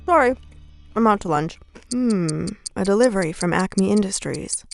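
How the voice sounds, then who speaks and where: A woman voicing a spirited female elf in a video game, expressive and wry. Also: mid-range voice, moderate pace, creaky, faint music behind. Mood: joking. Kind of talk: video game character acting.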